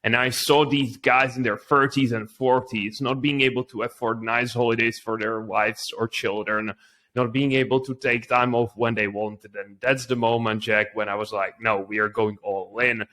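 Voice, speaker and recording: A man's voice: medium pitch, little pitch movement, normal speed, bright, forceful tone. A man from the Czech Republic with a Czech accent, speaking English as a second language as an interview guest telling his story conversationally.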